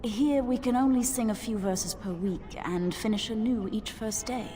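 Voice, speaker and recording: Gentle, devout village priestess, acted by a woman. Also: fairly high pitch, normal speed, in a large hall, lightly acted. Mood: reverent, explaining. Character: devout, sorrowful chantry sister in a fantasy refugee village. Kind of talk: fantasy video game dialogue.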